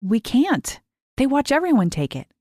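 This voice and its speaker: A woman's voice: sits high, big pitch swings, moderate pace, darkish tone, no room sound, clean studio sound, pitched above the performer's own voice. A woman voicing an anxious, rule-abiding young girl in a story, hesitant and full of doubt. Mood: worried. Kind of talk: acted dialogue in story narration.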